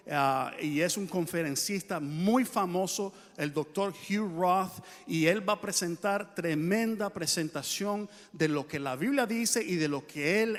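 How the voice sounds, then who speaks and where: A high-pitched man speaks from a church pulpit, introducing a guest speaker. He rouses the congregation and asks them to respond, and his voice echoes through a large hall. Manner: rousing, calls the congregation to respond.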